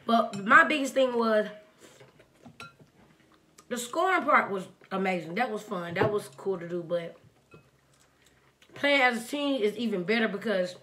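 Raspy young woman telling a story. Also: mid-range voice, unhurried, long pauses, a little hiss or hum. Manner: relaxed storytelling. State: sick, clearing throat. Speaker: mukbang co-host. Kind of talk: casual chat while eating. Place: indoor home studio.

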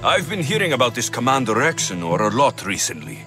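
An actor playing a calm, direct young mercenary hero, with game music behind. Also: male voice, mid-range voice, fairly quick, natural voice. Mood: firm.

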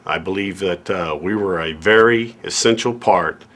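A man with a low voice speaks emphatically at a press conference. He drives his points home with force, using filler words between phrases. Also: normal speed, fairly bright tone.

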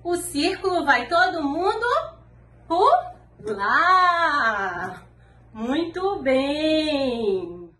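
A Brazilian woman in her thirties, a preschool teacher speaking slowly and encouragingly to small children in an online lesson. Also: high voice, expressive, bright, forceful tone. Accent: Brazilian Portuguese. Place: classroom wall with posters, indoors. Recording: echoey room, faint background noise.

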